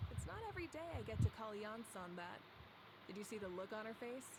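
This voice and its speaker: A woman's voice: a little high, sing-song, brisk, heavy background noise. An actress playing a confident, playful warrior leader in a video game, assured and teasing in her delivery. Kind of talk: animated video game dialogue.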